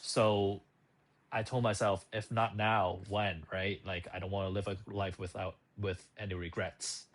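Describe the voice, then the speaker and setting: A man's voice: low voice, flat delivery, unhurried. A man in his thirties who grew up in Hong Kong, telling a personal story to the camera in a loose, casual way with plenty of fillers and restarts. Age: 30s. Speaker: channel host.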